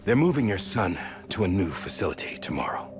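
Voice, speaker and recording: An actor playing a loyal, guilt-ridden soldier in a game drama, halting and earnest, often dropping toward a whisper. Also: male voice, deep voice, very animated. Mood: grave, hushed. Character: loyal, guilt-ridden soldier torn between oath and former commander. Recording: loud music behind the voice. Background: cinematic score.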